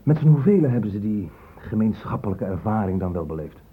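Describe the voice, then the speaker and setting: Casting: brusque, sardonic police inspector, rough-edged lowish voice, radio drama. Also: male voice, big pitch swings, a little fast. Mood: sardonic, impatient. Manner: brusque, sarcastic, interrogating. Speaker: actor in a radio play. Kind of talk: Dutch radio drama acting. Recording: muffled phone-like old radio recording.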